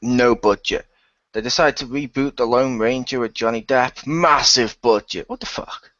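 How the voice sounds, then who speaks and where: A man's voice: mid-range voice, moderate pace, fairly bright tone, no echo, lo-fi sound. A man playing in an improvised audio role-play, bantering in character with a sardonic manner.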